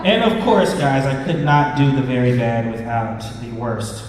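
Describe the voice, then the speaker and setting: A man's voice: mid-range voice, lively intonation, normal speed. A man hosting a fan panel in a casual, emphatic, opinionated way, speaking to a room. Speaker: panel host. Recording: echoey hall, distant mic, audience noise.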